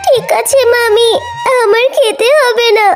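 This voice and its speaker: A woman's voice: very high voice, steady tone, a little slow. A woman voicing a meek, plaintive young orphan fox girl in a children's cartoon. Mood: hurt, pleading. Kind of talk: Bangla children's cartoon dubbing. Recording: loud music behind.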